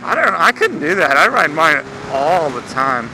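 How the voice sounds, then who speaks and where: Young male American motovlogger, higher-pitched voice, casual and slangy with some swearing, recorded outdoors amid clear engine and road noise. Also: very animated, moderate pace. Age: young adult. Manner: laid-back, slangy, swearing. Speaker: motorcycle vlogger. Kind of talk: casual rambling vlog chat. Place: outdoors, riding a motorcycle. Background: engine and vehicle noise.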